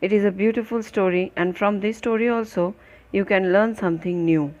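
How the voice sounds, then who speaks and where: A woman's voice: mid-range voice, fairly even intonation, fairly quick, darkish tone, highs cut off. A woman teacher telling a moral story to young children in simple, repetitive phrases, putting questions to them.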